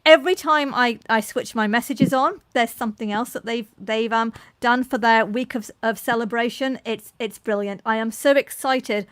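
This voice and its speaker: A woman's voice: fairly high pitch, normal speed, hardly any pauses, fairly bright tone, dry recording. A woman hosting a live online panel, effusive, with frequent restarts. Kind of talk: enthusiastic live-stream moderating.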